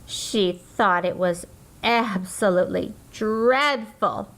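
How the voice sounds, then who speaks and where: A woman's voice: medium pitch, slow. A woman reading a children's picture book aloud, expressively, drawing out words for emphasis. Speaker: children's storybook reader.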